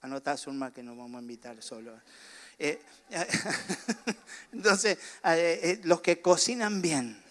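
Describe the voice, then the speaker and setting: A middle-aged man with a Rioplatense accent preaching a sermon, chatting and joking with the congregation before building to fervent preaching, with a slight cough. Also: fairly high pitch. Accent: Rioplatense Spanish. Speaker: pastor.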